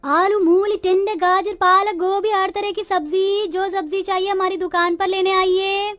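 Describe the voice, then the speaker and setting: Poor village housewife for a cartoon moral tale, played by a woman: high voice, dramatic, complaining, close dry recording. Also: fairly even intonation, measured pace, fairly bright tone, no room sound. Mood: calling out. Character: village women of a poor family, resourceful daughters-in-law and their mother-in-law. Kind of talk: Hindi cartoon moral-story dialogue.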